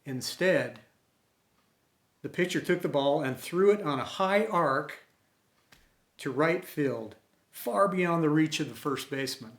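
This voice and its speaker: A man with a slightly higher voice tells a story at an unhurried pace. He reads expressively and dramatically, and the room echoes around him. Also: animated. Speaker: storyteller and host. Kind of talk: expressive storytime read-aloud. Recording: roomy, echoey home recording.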